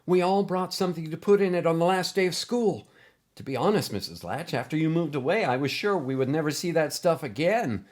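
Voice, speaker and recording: Excitable thirtysomething man-child acted by a male narrator, pitched well up, eager and emphatic, storybook reading. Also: fairly quick, lightly acted. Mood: excited. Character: excitable, boyish man in his thirties, old pupil.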